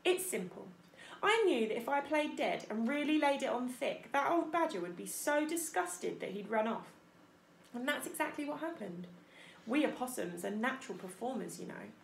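Woman reading as a dramatic storybook opossum, brags about her acting, raised gravelly voice. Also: a little high, expressive, normal speed, reverberant, a little hiss or hum. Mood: showy. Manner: dramatic, chatty, self-admiring. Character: theatrical, boastful female opossum.

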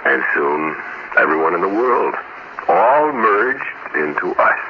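A man in a 1950s radio play, playing a husband turned alien, soothing and menacing in a quiet, eerily calm way. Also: deep voice, slow, heavily acted. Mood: eerie calm. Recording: noisy, muffled 1950s radio recording.

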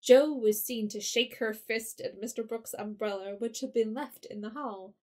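A woman's voice: a little high, fairly even intonation, moderate pace, a little mellow. A woman narrating a classic story in an audio drama. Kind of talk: scripted narration.

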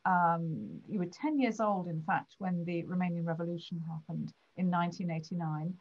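An older woman hosting a conversational interview over a video call. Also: on the low side. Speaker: interview host. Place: indoors at home, on a video call. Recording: slightly dull video-call audio.

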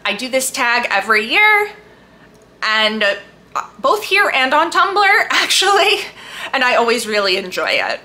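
A woman book vlogger chatting casually and enthusiastically to camera, leaning hard on emphatic, drawn-out words. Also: on the high side, very animated, bright, forceful tone. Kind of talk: casual chat to camera about books.

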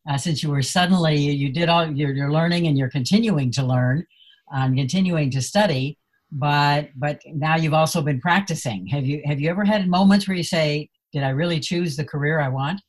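A podcast host asking interview questions in a measured way, often repeating words while forming them. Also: mid-range voice, poor audio.

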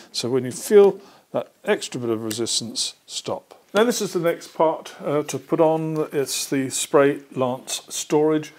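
A man's voice: fairly high pitch, darkish tone. A British man in his sixties patiently explaining a hands-on task step by step, as a workshop presenter. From Britain. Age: 60s. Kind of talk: hands-on assembly tutorial. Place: indoor workshop.

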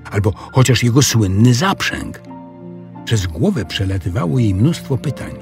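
Expressive, creaky-voiced male storyteller. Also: medium pitch, normal speed, music in the background. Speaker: narrator. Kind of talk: expressive children's audiobook storytelling.

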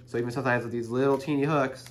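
A man's voice: mid-range voice, fairly even intonation, racing, slight background noise. A young man hosting a fishing channel, casually explaining his tackle to the camera in a chatty, earnest way full of "like" and "you know", recorded indoors on a camera mic. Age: young adult. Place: indoor room in front of a printed banner.

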